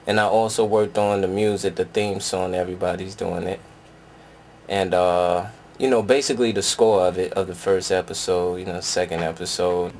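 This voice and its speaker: A man from South Carolina talks casually as an interviewed cast member. His voice is very low with heavy fry, over faint background noise. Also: a little mellow. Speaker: actor and music producer. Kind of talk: casual behind-the-scenes interview.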